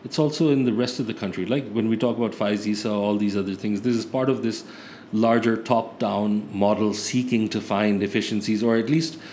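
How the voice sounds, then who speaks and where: A man's voice: lowish voice, steady tone, brisk, darkish tone. A man in a casual political discussion, earnest and thinking aloud, often restarting his sentences. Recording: faint background noise.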